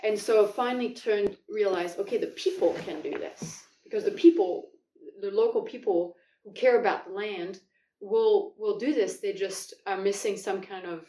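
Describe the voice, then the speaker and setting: A woman from France speaks at a middle pitch, enthusiastic but halting, often restarting and stretching out words as she tells her story. The mic sits some way off in an echoey home kitchen. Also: warm tone. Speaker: guest. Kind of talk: casual conversational storytelling. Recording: echoey room, far from the mic.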